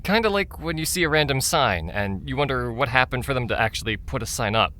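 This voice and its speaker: Hesitant, bright-voiced boy. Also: medium pitch, lively intonation, brisk, slight background noise. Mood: curious. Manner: hesitant, questioning. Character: unsure, troubled boy searching for the truth. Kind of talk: audio drama acting.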